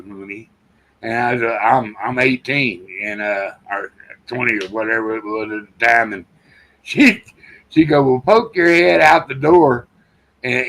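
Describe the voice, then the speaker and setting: A man's voice: mid-range voice, fairly bright tone, poor audio. An older man from Texas, chatting casually on a live stream and telling rambling folksy stories with quoted dialogue. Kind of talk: casual chat.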